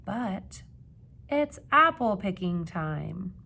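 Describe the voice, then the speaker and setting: A woman reading a children's picture book aloud like a teacher, with expressive emphasis and questions put to young listeners. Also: medium pitch, creaky. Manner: expressive, dramatic gasps and emphasis, pausing to ask questions.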